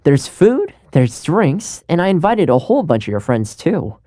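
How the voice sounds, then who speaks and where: Game role: friendly young male retainer. Loyal, easygoing, earnest; animated intonation, soft-edged mellow timbre. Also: fairly high pitch, normal speed, no room sound, studio quality. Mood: cheerful, casual. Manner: chatty, earnest, conversational with light chuckles. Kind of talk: video game character voice lines.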